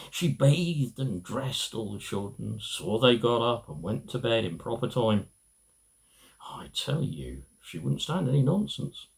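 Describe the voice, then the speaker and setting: A middle-aged man reads a children's story aloud in a fairly low voice. His pitch swoops widely from phrase to phrase. He takes his time, with pauses and expressive storytelling. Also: gravelly, rough recording. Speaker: amateur reader.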